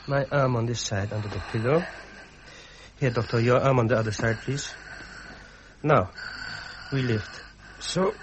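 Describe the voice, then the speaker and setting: Commanding royal grandson played by a man, middle pitch, formal and solemn, vintage radio drama, clearly noisy. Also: measured pace, long pauses, lightly acted. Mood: solemn, urgent. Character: imperious grieving royal grandson keeping deathbed vigil. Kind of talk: 1970s British radio drama acting.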